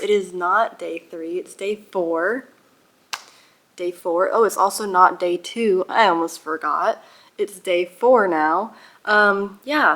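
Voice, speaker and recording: Gushing young woman chatting, slightly low voice. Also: a bit bright. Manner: gushing, giggly, emphatic. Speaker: book vlogger. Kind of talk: casual reading vlog chat.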